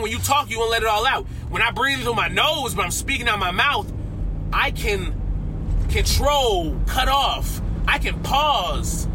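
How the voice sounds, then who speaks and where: Young dude rambling while he drives, kinda high voice, loud road noise. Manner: rambling, slangy, self-coaching. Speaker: vlogger. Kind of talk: casual self-talk vlog. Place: inside a car while driving.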